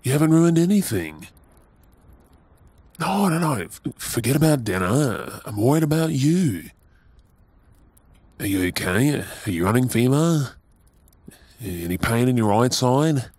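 An Australian man playing a tender, doting young husband in an intimate ASMR audio roleplay, with a soft, breathy voice. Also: mid-range voice, big pitch swings, measured pace, long pauses, no room sound. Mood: worried, tender.

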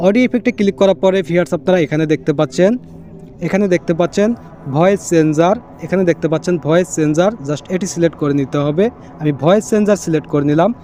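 A man's voice: on the high side, fast, dark tone. A man hosting a casual how-to tutorial, explaining step by step, repeating himself and dropping in English catchwords. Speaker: tech tutorial host. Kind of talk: casual screen-recorded how-to narration.

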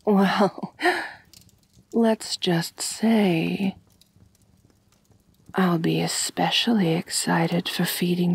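Woman acting a breathy, slow-speaking vampire mistress. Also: lowish voice, dry recording, heavily acted. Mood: pleased, coy. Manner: gentle, doting, softly commanding, often near whispering. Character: kind, possessive, refined female vampire mistress. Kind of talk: ASMR roleplay, soft intimate personal attention.

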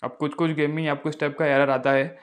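A man's voice: fairly high pitch, level tone, brisk. A man, narrating a casual phone tutorial as its host.

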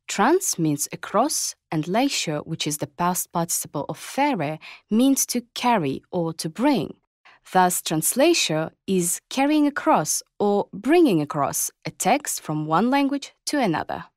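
A woman whose first language is Kazakh, reading a scripted explanation of word origins in a measured way. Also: mid-range voice, sing-song, dry recording, clean studio sound. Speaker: language researcher.

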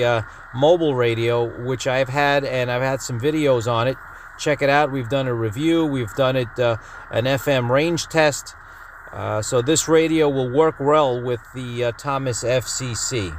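A man hosting a hobby video, talking through a product in an informal, matter-of-fact way. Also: mid-range voice. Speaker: host of a radio-hobby video channel. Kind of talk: casual product announcement and feature rundown. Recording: clear steady background noise.

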